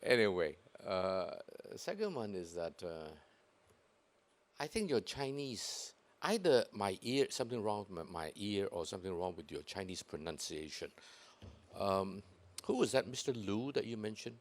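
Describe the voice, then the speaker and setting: A man hosting a talk event, speaking in a jovial, self-deprecating way with many hesitant pauses. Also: fairly low pitch, very animated. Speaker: host of the event. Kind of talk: casual event chat.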